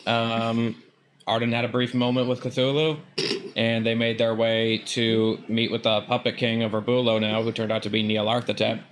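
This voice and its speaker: A man's voice: medium pitch, flat delivery, normal speed, a little hiss or hum. A man running a tabletop game as game master, recapping in a loose, joking way.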